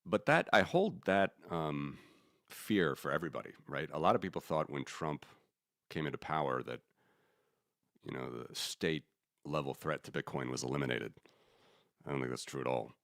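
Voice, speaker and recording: Man, very low voice, rambling podcast host, opinionated, dry close sound. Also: expressive, moderate pace, fairly bright tone, no room sound. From United States. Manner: rambling, restarts, thinks aloud, opinionated. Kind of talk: casual economics commentary.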